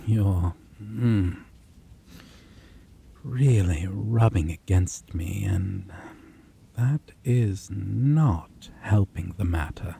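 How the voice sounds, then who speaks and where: A man voicing a possessive, predatory male vampire in an ASMR roleplay, teasing and intimate, with low, purring chuckles and sudden whispers. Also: sing-song, creaky, slight background noise, heavily acted. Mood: teasing, menacing. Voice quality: low, slow, purring delivery with soft chuckles.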